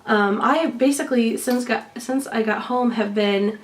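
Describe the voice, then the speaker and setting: A woman with a somewhat high voice talks casually to her viewers, cheerful and rambling with plenty of ums. Her nose is stuffy from a recent bronchitis. She sits a little back from the microphone. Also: unhurried, recorded from a distance. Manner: chatty, lots of ums, cheerful. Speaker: vlogger showing a shopping haul. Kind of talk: casual chat to camera.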